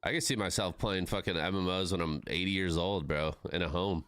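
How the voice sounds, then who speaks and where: A man's voice: sits low, fairly quick, fairly bright tone, no room sound, studio quality. A man in his thirties chatting casually with his live-stream viewers, laid-back and swearing freely. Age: 30s.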